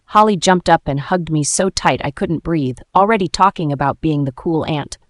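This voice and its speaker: A woman's voice: sits low, moderate pace, dry recording, clean studio sound. A woman reading a scripted first-person story as its dramatic narrator.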